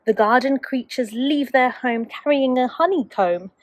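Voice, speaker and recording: A woman's voice: high-pitched, a little slow, no room sound. A woman, a teacher, reading a children's storybook aloud expressively to young children.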